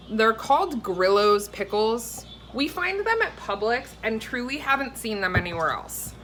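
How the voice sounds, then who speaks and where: Bright, high-voiced woman, chatty home vlogger. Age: 30s. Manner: chatty, explanatory. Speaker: cooking vlogger. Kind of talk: casual recipe vlog. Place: home kitchen. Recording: faint background noise in kitchen.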